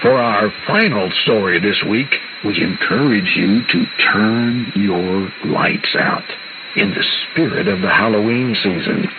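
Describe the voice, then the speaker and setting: A man's voice: fairly low pitch, animated, slow. A man anchoring a scripted amateur radio newscast, heard over shortwave radio. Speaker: news anchor. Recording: noisy narrow-band shortwave radio reception. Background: shortwave static.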